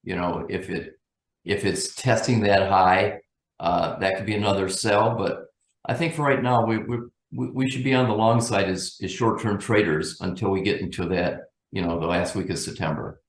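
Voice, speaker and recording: Deep-ish guy doing off the cuff market analysis, careful and measured, lots of room echo. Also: fairly even intonation. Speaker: financial market analyst, interview guest. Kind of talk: unscripted market commentary.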